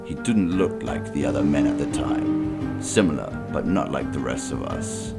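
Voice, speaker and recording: Man acting veteran gladiator, heroic film narration, very deep, gritty fry, solemn. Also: expressive, moderate pace, loud music behind the voice, full character voice. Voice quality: gravelly. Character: battle-worn ancient Greek soldier reborn as gladiator, solemn. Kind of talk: dramatic scripted narration.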